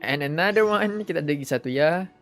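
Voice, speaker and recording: Very low-pitched Malaysian woman streamer. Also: expressive, quick pace. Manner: mixes Malay with English. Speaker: game livestreamer. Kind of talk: casual chat, reacting to a game. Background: game music.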